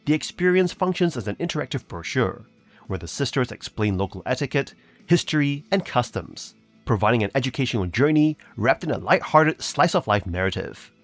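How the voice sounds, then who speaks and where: A man's voice: medium pitch, very animated, a little fast, faint music behind. A man narrating scripted video game reviews as a reviewer, with a polished, promotional delivery.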